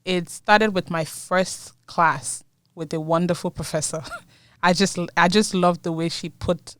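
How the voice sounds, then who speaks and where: A woman's voice: on the low side, fairly even intonation, measured pace, no room sound, clean studio sound. A young woman in her twenties from Nigeria, speaking Yoruba natively, talking as a guest in a casual interview and laughing often between phrases. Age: 20s. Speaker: guest, university student.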